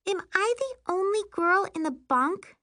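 A woman's voice: very high voice, expressive, a little slow, bright, forceful tone, no echo, studio quality, pitched above the performer's own voice, heavily acted. A woman voicing a whiny, pouty, tearful little girl in an audiobook. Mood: pouty, stubborn. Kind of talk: audiobook character voice.

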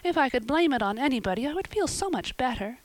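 Woman reader doing an imaginative, solemn girl; raised pitch, dry close sound. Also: very animated, fast, no room sound. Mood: plaintive. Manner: high-flown, earnest phrasing. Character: dramatic imaginative young girl, solemn and earnest.

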